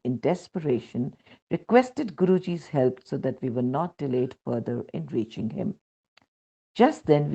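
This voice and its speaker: A woman's voice: low voice, gravelly, warm tone, slightly rough, muffled recording. A woman with an Indian accent reading a first-person devotional account aloud as scripted narration. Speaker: reader of a devotional testimony.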